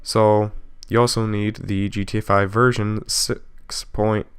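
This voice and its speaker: A man narrating a software tutorial casually, explaining step by step in an informal way and correcting himself as he goes. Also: on the low side, level tone, unhurried, smooth. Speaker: video tutorial host.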